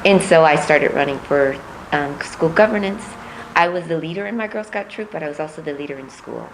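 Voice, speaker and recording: A middle-aged woman from Puerto Rico, raised in Missouri, answering interview questions as a political candidate, measured and reflective with frequent pauses. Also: low-pitched, a little hiss or hum, rough recording.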